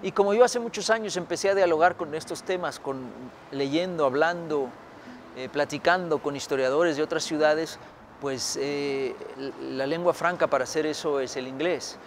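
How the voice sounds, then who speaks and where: A man's voice: fairly high pitch, moderate pace, slight background noise. A middle-aged man from Mexico answering interview questions outdoors, thoughtful, with many fillers and restarts. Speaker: historian author. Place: outdoors by a building.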